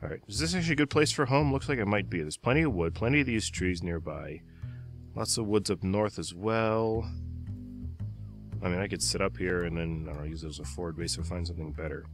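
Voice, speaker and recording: A man's voice: low voice, a little fast. A man casually commenting while playing a game, a bit congested from a cold and muttering to himself as he goes. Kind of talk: casual let's-play commentary. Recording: voice with loud background music. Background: background music.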